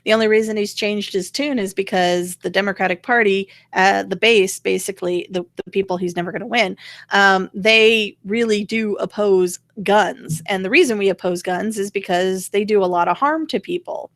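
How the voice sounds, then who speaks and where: A woman's voice: mid-range voice, normal speed, a bit bright, no echo. A woman giving casual political commentary, explaining her opinions in a wry, conversational way. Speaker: co-host of political rant show.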